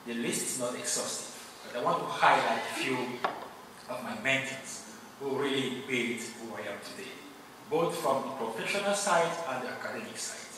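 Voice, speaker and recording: A man from Cameroon, a native Yemba speaker, gives a formal acceptance speech, earnest and grateful, pausing often. His mid-pitched voice rings through a large hall, picked up from a distance with a lingering reverb and steady room noise. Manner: earnest, grateful, measured with pauses. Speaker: award recipient giving acceptance speech. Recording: echoey hall, distant mic, noisy.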